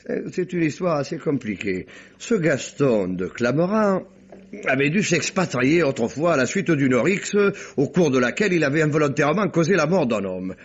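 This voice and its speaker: A wily, jovial detective passing himself off as a chatty gentleman from Provence. His voice is slightly husky and swings widely in pitch, full of deferential, theatrical digressions. It sounds like a muffled vintage radio mystery. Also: sing-song, normal speed. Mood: confiding, sly. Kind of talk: old French radio mystery drama acting.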